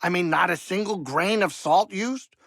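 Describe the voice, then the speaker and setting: An actor playing a grumbling but warm-hearted older alien pilot and cook in a video game, with a gravelly voice and a folksy, wisecracking, fussy way of talking. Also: male voice, high-pitched, normal speed, no room sound, clean studio sound, full character voice. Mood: fussy, exasperated. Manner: folksy, wisecracking, fussy, colloquial streetwise talk. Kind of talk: video game character dialogue.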